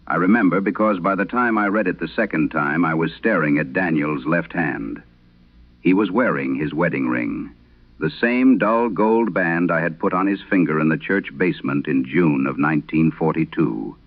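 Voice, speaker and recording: Very low male voice reading as a haunted, fearful storyteller, solemn old-time horror radio, dull lo-fi sound. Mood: somber, uneasy. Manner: solemn, measured, literary. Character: troubled 1940s wife reading her haunted, fearful letter. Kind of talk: vintage horror radio drama narration.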